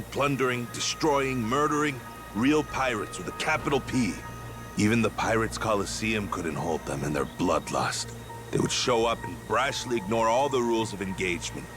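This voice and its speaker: An actor playing a nervous, knowledgeable sailor, speaking in an emphatic, explanatory way in a game cutscene. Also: male voice, lowish voice, close to an everyday voice. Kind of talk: dramatic game cutscene acting. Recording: music under the voice.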